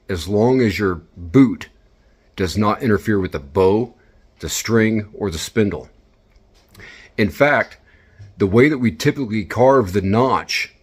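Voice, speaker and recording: A man's voice: fairly low pitch, husky. A man giving instructional commentary, speaking directly and encouragingly as he coaches. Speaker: instructor and video host.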